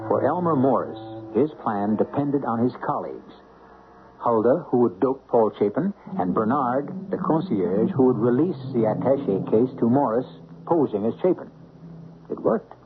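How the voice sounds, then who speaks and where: A man's voice: medium pitch, lively intonation, normal speed, noisy background. A man hosting an old radio mystery with scripted narration, conversational and rhetorical like a storyteller addressing listeners. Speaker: host and narrator. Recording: thin phone-like radio recording, music behind.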